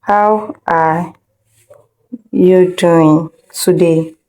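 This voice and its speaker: Woman, lowish pitch, dark mellow tone, informal tutorial instructor, step-by-step, repetitive, checks understanding. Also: steady tone, drawn-out words. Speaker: video editing instructor.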